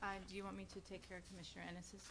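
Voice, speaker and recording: A woman commissioner giving brisk, businesslike motions and reports at a city meeting. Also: mid-range voice, little pitch movement, noisy background.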